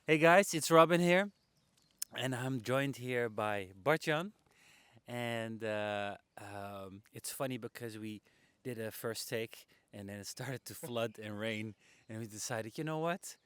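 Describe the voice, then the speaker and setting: A man's voice: mid-range voice, measured pace, a bit bright, smooth, no echo. A man hosts a casual interview podcast from a public bench outdoors. His voice is clean and resonant, and it sits dry, with hardly any room sound. He speaks in a conversational interviewing style.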